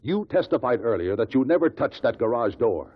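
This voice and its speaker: An actor playing a shrewd, relentless investigator who questions witnesses deliberately in a 1950s radio drama. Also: male voice, mid-range voice, very animated, slightly rough. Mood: accusing, insistent. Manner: deliberate, probing courtroom questioning. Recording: muffled vintage 1950s radio recording.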